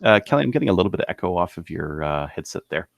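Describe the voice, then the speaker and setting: A man co-hosting a podcast, casually running through products in a halting, thinking-aloud way. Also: sits low, big pitch swings, gravelly, dry recording, studio quality. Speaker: podcast co-host presenting gun deals.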